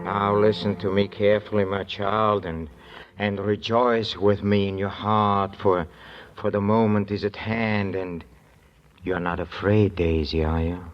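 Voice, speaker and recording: An actor playing a mad, educated religious fanatic who preaches scripture haltingly and fervently in an old-time radio drama. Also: male voice, flat delivery, lightly acted. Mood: hushed, fervent. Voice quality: low voice. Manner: hesitant, halting, fervent scripture-quoting speech. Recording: muffled vintage radio recording.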